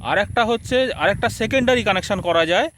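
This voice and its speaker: Male vlogger, high-pitched, conversational review delivery, slight background noise. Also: a little fast, fairly bright tone. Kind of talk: casual spoken product review, explaining to viewers.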